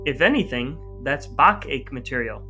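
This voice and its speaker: A man's voice: medium pitch, lively intonation, moderate pace, over music. A middle-aged American man hosting a podcast, speaking in a scripted, narrating style. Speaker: podcast host.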